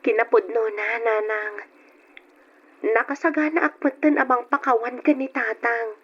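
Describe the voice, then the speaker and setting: A woman's voice: a little high, moderate pace, breathy edge, highs cut off. A young Filipino woman with an Ilocano accent playing a sweet, dutiful daughter with an earnest, sentimental delivery in an old radio drama. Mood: earnest, sad.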